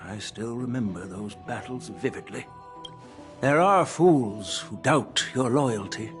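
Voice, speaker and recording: A seasoned old elder from a video game, frank and shrewd. He speaks formally and with measured gravity at a middling pitch, with faint music underneath. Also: expressive. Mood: solemn praise, then scornful, earnest.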